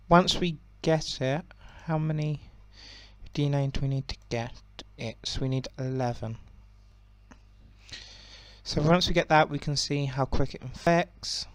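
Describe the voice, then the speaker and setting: A young man with a croaky voice giving relaxed, rambling commentary on a strategy game, with many ums and self-corrections. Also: a little high, no echo. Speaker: gaming channel host.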